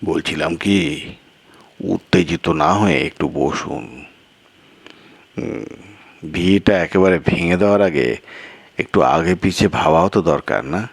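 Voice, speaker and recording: A crafty village man acted by a male storyteller speaks low and unhurried. He coaxes and insinuates with drawn-out phrases and rhetorical questions. The audio story sound is close and dry. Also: very animated, gravelly, no room sound, exaggerated voice. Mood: measured persuasion. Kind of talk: Bengali audio story character dialogue.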